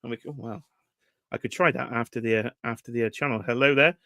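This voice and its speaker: A man's voice: medium pitch, steady tone, quick pace, pushed and bright, dry recording, clean studio sound. A British man hosting a toy-collecting livestream, casually chatting and teasing while greeting viewers from the chat. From United Kingdom. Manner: chatty, teasing, reads chat names aloud. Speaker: livestream host.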